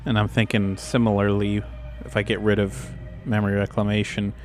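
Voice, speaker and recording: A man's voice: lowish voice, level tone, fairly quick, a little mellow, faint music behind. A man playing a tabletop game, chatting casually and thinking aloud, often repeating his words.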